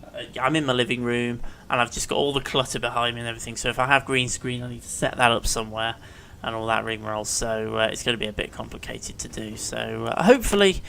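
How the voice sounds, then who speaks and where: Chatty gamer with a stuffy head cold, gravelly fry, talks at an everyday pitch while narrating his gameplay. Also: quick pace, few pauses, a bit bright, slight background noise. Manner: chatty, rambling, thinks aloud. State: congested with a cold, sore throat. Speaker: let's play commentator. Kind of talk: casual gameplay commentary. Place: living room at home.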